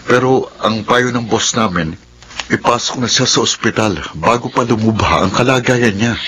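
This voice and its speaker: An actor playing a steady, caring coworker and family friend in a radio drama. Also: male voice, medium pitch, moderate pace, a little hiss or hum, close to an everyday voice. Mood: reassuring.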